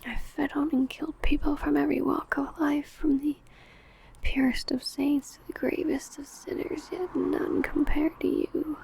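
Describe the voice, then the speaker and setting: A woman's voice: sits high, flat delivery, moderate pace, gravelly, darkish tone. A woman playing an ancient, possessive, doting female vampire in a whispered, intimate ASMR roleplay, crooning breathily. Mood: hungry adoration.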